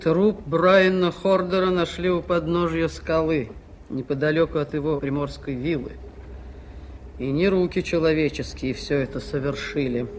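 Actress as a curt, sardonic woman, low-voiced. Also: fairly even intonation, normal speed, a little mellow, lightly acted. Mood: ominous. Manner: curt, dry, self-assured. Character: brisk, sardonic New York woman, darkly superstitious. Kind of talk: Soviet radio play acting. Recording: noisy 1980s radio recording.

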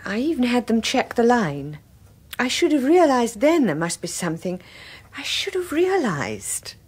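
An actress playing a guarded, self-reproaching wife in a radio drama, hesitant and reflective. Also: female voice, on the high side, wildly swooping pitch, measured pace, a little mellow, slightly rough, exaggerated voice. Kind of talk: 1980s radio drama acting.

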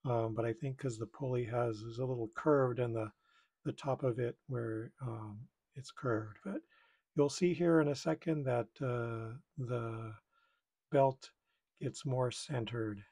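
Husky, bright-toned man talking through repairs. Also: medium pitch, measured pace. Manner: thinking aloud, tentative. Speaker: repair video maker. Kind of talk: casual hands-on tutorial commentary.

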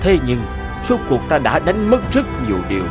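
A man's voice: on the high side, huge pitch swings, normal speed, over music. A man playing a proud but regretful, homesick middle-aged warrior king in a cartoon fairy tale, speaking in a solemn, reflective way. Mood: wistful, regretful.

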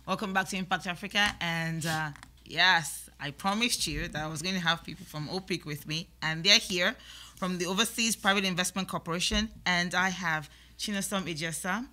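Bright-voiced woman hosting a radio interview. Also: fairly low pitch, moderate pace. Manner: chatty, acts out listeners' questions. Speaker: talk show host. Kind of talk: interviewing guests. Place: small radio studio. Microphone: studio mic on stand.

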